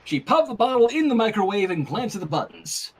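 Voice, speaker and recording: Male fan fiction narrator, wry and very lively, slightly husky, breaks off to comment. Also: sits high, fairly quick. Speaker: story reader. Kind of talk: fan fiction reading with casual asides.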